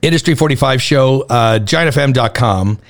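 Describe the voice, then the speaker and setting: Male radio host, easygoing, rambling questions, mid-range voice. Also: mid-range voice. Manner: relaxed, chatty, drawn-out questions. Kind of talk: casual radio interview. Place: Toronto.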